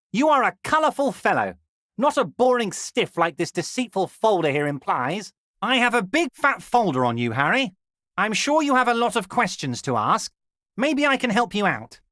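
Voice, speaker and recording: An actor playing a scheming middle-aged union boss who flatters in a smug, drawn-out way, in a video game. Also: male voice, high voice, dry recording, studio quality, full character voice. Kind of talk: video game character dialogue.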